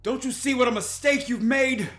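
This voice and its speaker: A man's voice: very high-pitched, moderate pace, fairly bright tone, faint music behind. A man playing a cold, cruel young mayor's son with a harsh, scornful manner in a dramatized horror tale. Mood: cold fury. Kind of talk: dramatized horror story dialogue.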